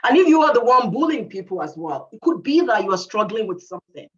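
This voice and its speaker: A woman's voice: fairly high pitch, sing-song, quick pace, fairly bright tone, lo-fi sound. Earnest woman with an accent, distant call audio. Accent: non-native English. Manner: earnest, repeats phrases for emphasis. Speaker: guest speaker. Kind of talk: advice talk to youth.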